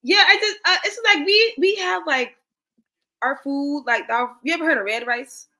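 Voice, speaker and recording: A woman's voice: high voice, moderate pace. A young woman with a South Carolina Geechee accent, talking in a casual, slangy way as a guest commentator. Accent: Gullah Geechee, South Carolina. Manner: animated, slangy, many "like" fillers. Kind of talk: casual chat.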